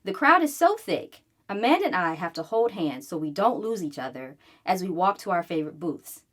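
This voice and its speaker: A woman reading a children's book aloud in an expressive, animated way, acting out the story as she goes. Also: lowish voice, moderate pace.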